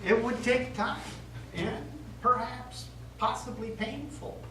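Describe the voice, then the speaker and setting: Man acting a slow-speaking, gentle priest, distant echo. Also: extremely high pitch, heavily acted. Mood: gently persuasive. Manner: measured, earnest, pastoral. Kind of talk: stage play acting. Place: theatre studio stage. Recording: echoey stage, distant mic, noisy.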